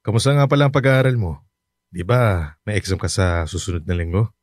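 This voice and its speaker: A man playing a hardworking fifty-year-old taxi-driver father in a radio drama, speaking gently and reassuringly. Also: sits low, brisk, no room sound, clean studio sound. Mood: caring.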